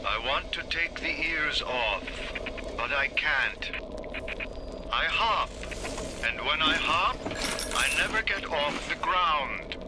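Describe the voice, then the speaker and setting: A man's voice: fairly high pitch, animated, takes it slowly. An actor playing a tormented man in a bunny costume, performing in a video game with an anguished, pleading tone. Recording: clear background noise.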